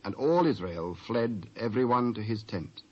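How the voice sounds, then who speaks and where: A British man narrating scripture in a measured, scripted style with archaic English. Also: lowish voice, lively intonation. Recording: muffled cassette transfer with faint noise.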